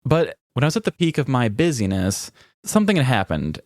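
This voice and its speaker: A man's voice: medium pitch, sing-song, brisk, darkish tone, dry recording, studio quality. A man co-hosting a podcast, telling personal stories in a casual, candid and self-reflective way. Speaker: podcast co-host.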